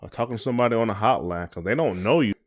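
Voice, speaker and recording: Low-pitched American man chatting on a podcast. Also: very fast. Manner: colloquial, often checks in with "you know". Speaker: podcast host. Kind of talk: casual conversational podcast talk.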